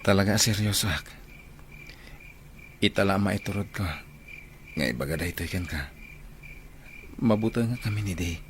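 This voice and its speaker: Man acting low-voiced earnest young Ilocano man. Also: moderate pace, pauses often, a little hiss or hum, natural voice. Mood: earnest, confessing. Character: earnest young man, devoted son in love with his friend. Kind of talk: Filipino radio drama acting.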